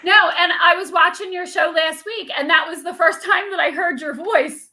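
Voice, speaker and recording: A woman guest co-hosting a podcast, chatty and eager, with a high-pitched voice. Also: normal speed, pushed and bright. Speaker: guest co-host. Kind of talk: casual chat.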